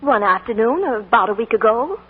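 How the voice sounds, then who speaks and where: A woman's voice: fairly high pitch, expressive, normal speed, slight background noise, natural voice. An actress playing a spirited young woman with a lilting, playful manner in a 1940s radio drama. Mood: worried. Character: spirited young Irish woman, romantic and warm. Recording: tinny 1940s radio broadcast with music.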